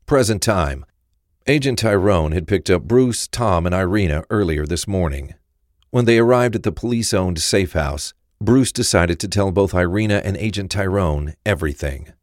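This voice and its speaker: A man's voice: low-pitched, animated, normal speed, no room sound, clean studio sound. A man reading scripted narration for a crime thriller audio drama.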